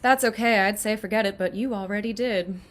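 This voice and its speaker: A woman's voice: mid-range voice, fairly quick, music in the background, natural voice. A woman reading a scene at an audition, playing a wry, teasing character who sighs. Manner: wry, sighing.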